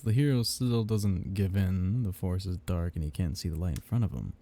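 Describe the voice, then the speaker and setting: A man playing a seductive, possessive vampire captor in an intimate ASMR roleplay, teasing and condescendingly tender in a breathy, gravelly murmur. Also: deep voice, a little fast, dark tone. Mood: teasing, musing.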